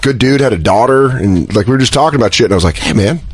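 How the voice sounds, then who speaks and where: A male comedy podcast host with a very animated, swinging delivery at an average pitch. He chats casually and riffs freely, with slang and swearing mixed in. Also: very fast, breathy edge. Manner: riffing, profane, slangy. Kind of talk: casual comic chat.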